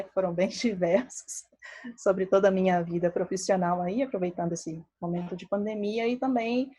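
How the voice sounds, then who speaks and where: A woman in her thirties speaks with a Brazilian accent that blends Minas Gerais and Rio. Her voice is mellow and dark. She talks in a relaxed, chatty way, full of fillers and light asides. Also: mid-range voice. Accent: Brazilian Portuguese, mixed Minas Gerais and Rio. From Belo Horizonte, Brazil. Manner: relaxed, chatty, many fillers, laughs often. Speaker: statistician presenting to a meetup. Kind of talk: casual talk.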